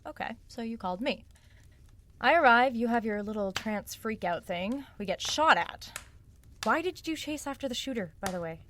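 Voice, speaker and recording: An actress playing a sharp, sarcastic young woman who probes for answers in a naturalistic audio drama. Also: a little high, expressive, normal speed, a little hiss or hum. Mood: sardonic, insistent. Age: young adult. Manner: wry, probing, sardonic.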